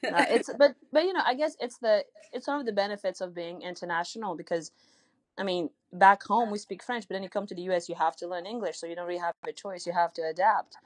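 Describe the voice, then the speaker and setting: A woman's voice: on the low side, fast, dry recording. A young woman, a tennis coach whose first language is Russian, answering interview questions in a thoughtful way with many fillers and restarts. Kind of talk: podcast interview guest.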